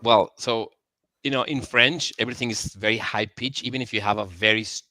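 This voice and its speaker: This is a man with a lowish but bright, forward voice, talking casually as a podcast guest. He is self-deprecating and laughs easily. The recording is dry and close. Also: a little fast, no echo.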